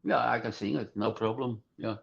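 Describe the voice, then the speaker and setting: Halting older man, muffled audio. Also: mid-range voice, creaky. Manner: halting, repetitive non-native English. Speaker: podcast guest, club singer. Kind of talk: casual interview answers.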